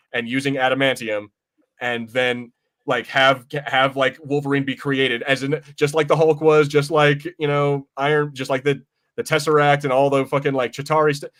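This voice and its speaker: A man's voice: mid-range voice, fairly bright tone. A man co-hosting a podcast, discussing films and comics in a casual, rambling way with some swearing. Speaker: podcast co-host.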